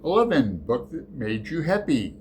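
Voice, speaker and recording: A man's voice: mid-range voice, sing-song, moderate pace, slight background noise. A man hosting his book channel, chatting through a tag in a rambling, hesitant way with lots of fillers. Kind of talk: casual book tag chat.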